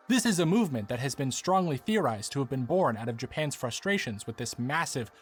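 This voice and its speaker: A man delivering scripted documentary narration as the narrator of a video essay. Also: mid-range voice.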